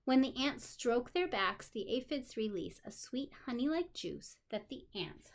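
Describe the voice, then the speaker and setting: Lady teacher reading to little kids, highish voice that swings up and down a lot, chatty asides and questions. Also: measured pace, gravelly. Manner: expressive, addresses young listeners with questions and simple explanations. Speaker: special education teacher. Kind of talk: read-aloud lesson for young children with explanatory asides.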